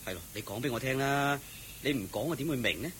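Actor as a meek poor husband, pleading, swingy pitch, bright voice, cantonese radio drama with faint noise. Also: male voice, lively intonation, fast, lightly acted. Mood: puzzled. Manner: polite, pleading, incredulous. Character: poor, sickly, meek husband, bewildered and hurt.

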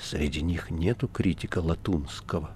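A man voicing a troubled, proud, sharp-tongued woman in an audiobook, speaking curtly. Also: sits low, expressive, quick pace, a little hiss or hum. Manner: curt and sharp.

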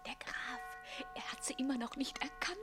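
An actress playing a clever, lively young lady's maid in a radio comedy. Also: high-pitched, moderate pace, over music, lightly acted. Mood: conspiratorial. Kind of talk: German radio play comedy acting.

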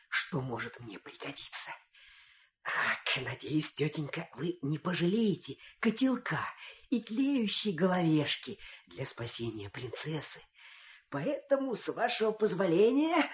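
An actor playing a polite, sly young lad in an audio fairy tale, speaking in a hushed, courteous way. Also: male voice, a little high, sing-song, slow. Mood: stealthy, polite. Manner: hushed, courteous, tiptoeing delicacy.

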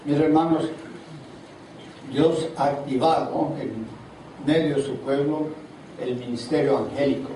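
Older preacher in an echoey hall. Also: male voice, on the high side, a little slow, pauses often, mellow. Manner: preaches with call-and-response questions to the congregation. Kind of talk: seminar sermon. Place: large hall at a church seminar. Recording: echoey hall from far off, audible noise.

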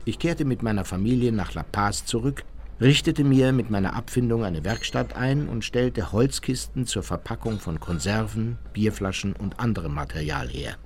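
A man's voice: lowish voice, moderate pace, a little mellow, slight background noise, lightly acted. An actor playing a self-justifying German Nazi war criminal, narrating his memoirs in a composed, matter-of-fact way for a radio drama.

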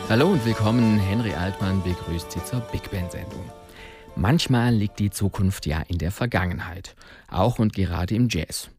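A man's voice: low voice, normal speed. A man hosting a jazz radio show in a scripted but conversational style. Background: faint music.

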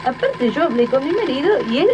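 A woman plays a haughty Argentine boss's wife in an old radio play. Her delivery swings widely in pitch as she gives imperious, impatient orders, on a muffled tape with clear noise. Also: very animated, a little fast. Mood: imperious, threatening. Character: bossy, haughty employer's wife, manipulative and threatening. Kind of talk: 1980s educational radio drama acting. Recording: dull, noisy 1980s archive radio tape.

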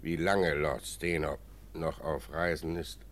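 An actor playing a patient, fatherly elderly jurist in a German radio drama, speaking in a measured, formal, instructive way. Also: very deep voice, flat delivery, a bit bright, full character voice. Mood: earnest, consoling. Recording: faintly noisy radio drama recording.

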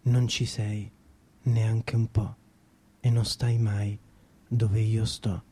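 Male performer for poetic monologue, very dark husky voice, unhurried dramatic recitation. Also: lowish voice, little pitch movement.

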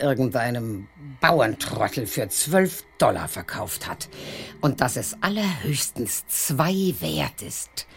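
A taunting, sly wife, swooping intonation. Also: female voice, extremely low pitch, full character voice. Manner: teasing, drawn-out, cruelly coaxing. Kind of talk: German radio drama dialogue.

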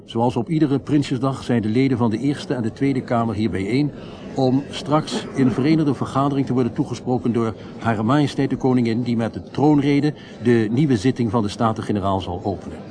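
A man's voice: medium pitch, brisk, crowd noise, lightly acted. An actor as a male radio commentator, formal. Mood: solemn, polished. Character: male radio reporter covering a royal ceremony, formal, later shaken. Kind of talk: live event commentary in a radio play.

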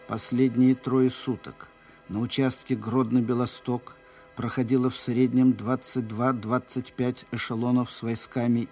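An actor playing a formal military reader of urgent dispatches in a radio drama, official, precise and impersonal. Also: male voice, medium pitch, darkish tone, natural voice. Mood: clipped, secretive. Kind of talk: scripted document reading in radio drama. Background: faint music.

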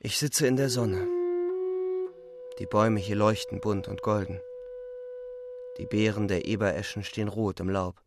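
A weary war-story narrator with a low voice and a creaky edge. The delivery is calm, measured and resigned, like solemn radio drama narration, with music clearly behind it. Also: male voice. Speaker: actor narrating.

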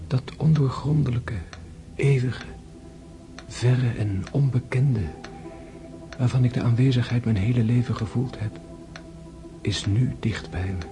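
An actor playing a dying wounded man in a radio drama, calm and detached, speaking in a hushed, halting, meditative way. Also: mid-range voice, over music, close to an everyday voice. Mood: serene, musing. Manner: slow, hushed, pensive, halting.

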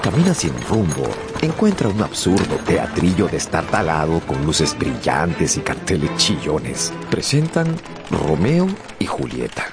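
A man narrating a radio drama as a scripted story, theatrically and with deliberate pauses. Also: low voice, wildly swooping pitch. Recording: music behind the voice.

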